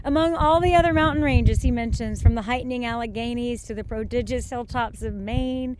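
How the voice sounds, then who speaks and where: A woman from Georgia being interviewed outdoors, talking earnestly and enthusiastically in quick bursts. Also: fairly high pitch, background noise. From Georgia, USA. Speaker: interview guest. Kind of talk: casual interview conversation.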